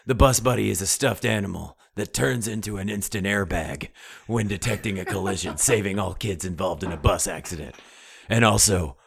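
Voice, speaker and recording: Man playing an old male sponsor, gravelly, husky, very low voice, folksy ad read. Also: moderate pace, few pauses, exaggerated voice. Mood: salesy. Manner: folksy ad pitch turning unhinged. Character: older male sponsor, gun-loving ex-spy. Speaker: sponsor doing a live read.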